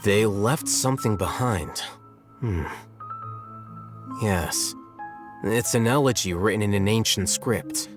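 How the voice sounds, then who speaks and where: An actor playing a composed, self-assured young scholar in a video game, speaking in a measured, dry, matter-of-fact way. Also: male voice, lowish voice, music in the background.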